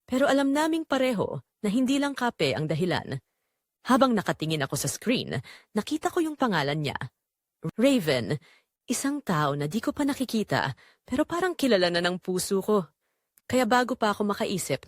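A woman's voice: mid-range voice, sing-song, normal speed, no room sound, clean studio sound. A woman narrating a first-person romantic drama in an intimate, dramatic way. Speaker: audio story narrator.

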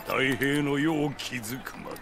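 An actor playing a magnanimous, genial middle-aged samurai lord in a game, speaking in a stately, measured way. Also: male voice, medium pitch, vocal fry, over loud music. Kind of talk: Japanese samurai game cutscene acting.

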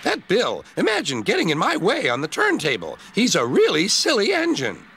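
A man voicing a cheeky, excitable young male tank engine twin in a storybook. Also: high voice, moderate pace, slight background noise, voice pushed up, full character voice. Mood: grumpy. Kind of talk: storybook character voice. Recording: background music.

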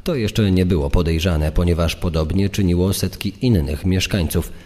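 A man acting as a measured investigator in a true-crime audio drama. His voice is very low and dark, with long reverb.

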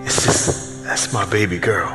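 A man's voice: deep voice, fairly even intonation, unhurried. A middle-aged man playing a defensive, worried single father in a stage drama. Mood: remorseful, hurt. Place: theatre stage before an audience. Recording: echoey theatre hall, loud music.

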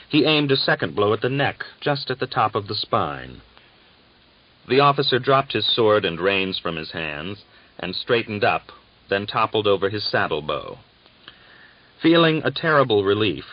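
Deep-voiced male audiobook narrator, slightly muffled. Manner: measured, deliberate literary delivery. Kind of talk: dramatic scripted novel narration. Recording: dull, lo-fi audiobook recording.

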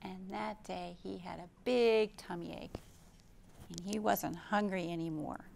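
A woman's voice: fairly low pitch, steady tone, unhurried, gravelly, darkish tone, a little hiss or hum. A middle-aged American woman reading and explaining simply and expressively to young children, as a librarian hosting storytime. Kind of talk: children's storytime read-aloud and show-and-tell. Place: indoor library room.

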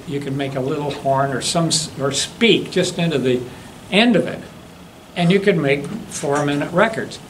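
A man in his seventies giving an informal demonstration talk, halting and anecdotal as he rambles along. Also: mid-range voice, rough recording. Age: 70s. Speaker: guest demonstrator and hobbyist. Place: classroom lecture room. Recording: faint room noise.

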